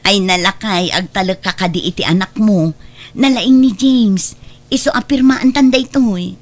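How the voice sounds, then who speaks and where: A woman's voice: medium pitch, moderate pace, lightly acted. A woman with an Ilocano accent playing an earnest, persuasive character in a family drama. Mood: pleading, persuasive. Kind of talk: Ilocano radio-style family drama acting.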